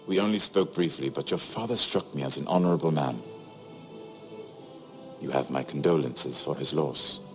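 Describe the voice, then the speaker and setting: A man's voice: a bass voice, normal speed, long pauses, soft background music. An actor playing a shrewd, sardonic nobleman in a fantasy game, with a dry, wry and courteous manner. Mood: courteous sympathy. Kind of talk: dramatic fantasy game acting.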